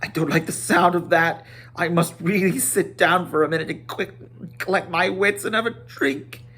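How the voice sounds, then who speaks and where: Fussy storybook hobbit gentleman, played by a man, raised pitch, fretful, excitable and over-polite. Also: normal speed, slightly rough. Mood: uneasy. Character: fussy, flustered gentleman hobbit, polite but put upon.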